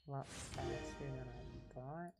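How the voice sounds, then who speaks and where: Female game streamer, low-pitched, casual and informal, muttering her thoughts aloud, clear music behind. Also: a little slow. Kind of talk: casual gameplay commentary.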